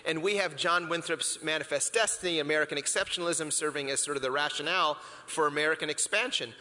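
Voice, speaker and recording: Male pastor from the Philippines, slightly high voice, bright and forceful tone, reflective rhetorical questions, long hall reverb. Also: fast talker. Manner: reflective, asks rhetorical questions, frequent restarts. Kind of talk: conversational sermon. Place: church hall with an audience.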